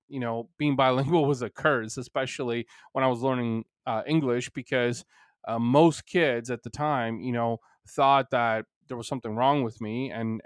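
A man's voice: mid-range voice, smooth, no room sound. Earnest Bulgarian-born man, dry close sound. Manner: earnest, rambling, many "you know" fillers. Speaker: podcast host. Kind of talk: solo podcast monologue.

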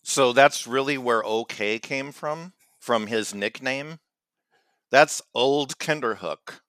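A man co-hosting a podcast, rambling and teasing with halting restarts in casual comedic chat. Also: mid-range voice, a bit bright, no room sound, studio quality. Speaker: podcast co-host.

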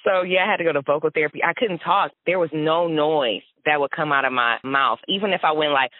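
A young woman tells stories in a relaxed, chatty way and laughs often as she goes. The sound is dry and narrow, with the boxy, thin quality of a phone line. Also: sits low, fairly quick, dry recording. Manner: laughs often, animated storytelling. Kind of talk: casual chat.

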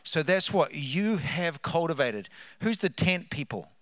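A man with a New Zealand accent shares testimonies from a church stage, with a slightly high voice and a dry, close sound. He talks in an animated way, stirring up the congregation and wandering off into asides. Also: unhurried, no room sound. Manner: animated, hypes up the crowd, trails off into asides. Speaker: guest speaker. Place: church sanctuary.

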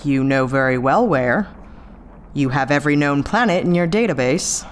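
Low-pitched, brisk woman spaceship pilot. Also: expressive, slight background noise. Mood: firm, impatient. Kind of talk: sci-fi audio drama acting.

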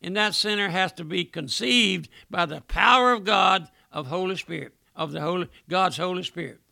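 A middle-aged male preacher delivering an impassioned revival sermon, often dropping to a hush on a repeated address to his listener. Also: high voice, moderate pace, gravelly, no room sound, studio quality.

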